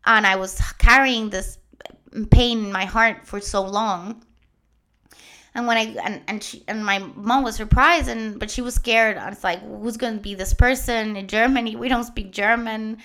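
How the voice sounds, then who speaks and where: A woman in her late twenties with a Puerto Rican accent, Spanish her first language, telling her own story earnestly with many pauses and restarts. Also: on the high side, steady tone, sharp tone. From Puerto Rico. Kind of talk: personal story told to followers.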